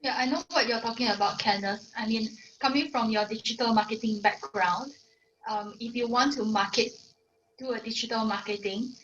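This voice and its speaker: A woman's voice: a little high, a bit bright. Woman with a dull lo-fi sound. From Singapore. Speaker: aspiring talk show host. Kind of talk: casual chat. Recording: slightly dull lo-fi audio with faint noise.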